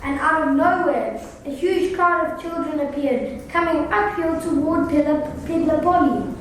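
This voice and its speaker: High-pitched woman narrating in an echoey room. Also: unhurried. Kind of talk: scripted story narration, readers theatre. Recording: very echoey room, distant mic, noisy.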